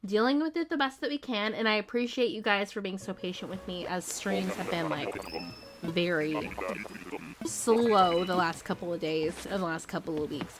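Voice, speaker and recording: A young woman chatting casually on a gaming live stream, rambling with lots of fillers and bursting into excited squeals, her voice still slightly hoarse. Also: lowish voice. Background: game music.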